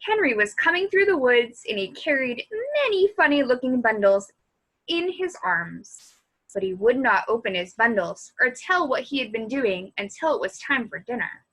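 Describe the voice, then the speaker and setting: Lady reading a kids book out loud, really animated, high voice a little husky. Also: rough recording. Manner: animated, pausing to comment on the story. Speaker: library story reader. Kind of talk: expressive children's storybook reading.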